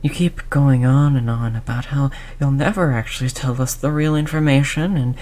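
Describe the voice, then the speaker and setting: A performer of unclear sex playing a cold, condescending researcher who disciplines a captive test subject in a measured, mock-polite way, in an audio roleplay. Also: medium pitch, fairly even intonation, a little mellow, close to an everyday voice. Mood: patronizing, exasperated. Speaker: scientist running human experiments. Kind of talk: scripted audio roleplay drama.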